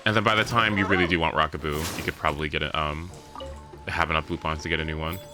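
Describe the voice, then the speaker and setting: A man's voice: rumbling low, steady tone, quick pace. A man chatting casually on a gaming stream, rambling as he thinks aloud, with some swearing. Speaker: livestreamer. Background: game music.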